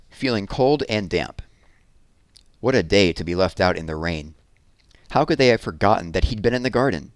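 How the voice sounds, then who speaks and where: A man's voice: fairly low pitch, dry recording, studio quality. A man reading storybooks aloud for children in a storytelling style. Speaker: storybook reader.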